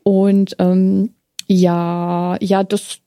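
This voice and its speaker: A woman's voice: medium pitch, flat delivery, drawn-out words, warm tone, no echo, clean studio sound. A woman who streams, talking casually and candidly as an interview guest, often searching for her words. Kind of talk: casual personal storytelling in an interview.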